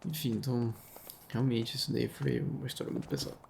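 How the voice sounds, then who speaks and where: A man's voice: medium pitch, fairly even intonation, fast talker, gravelly, slight background noise. A man with a Brazilian accent casually telling stories in an informal, chatty way, with conversational asides. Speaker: host of a story-reading video channel. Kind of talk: casual chatty commentary and storytelling.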